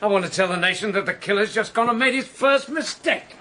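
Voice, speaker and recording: A man's voice: high-pitched, brisk, sharp tone, soft background music. A British man playing a dogged crime correspondent in a radio drama, terse and dry. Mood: wry, determined. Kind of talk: BBC radio drama acting.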